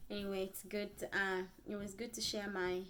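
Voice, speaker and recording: A young woman from Africa with an African accent, a native Bemba speaker, chatting casually and earnestly to viewers in a vlog, haltingly and often repeating phrases. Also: medium pitch, flat delivery, a bit bright, slight background noise. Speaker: vlogger. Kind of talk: casual personal vlog chat.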